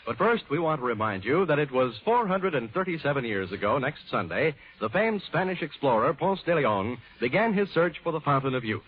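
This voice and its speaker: Animated, high-voiced male 1940s radio announcer. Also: a little hiss or hum. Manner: theatrical, measured announcer delivery. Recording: dull 1940s radio recording with music.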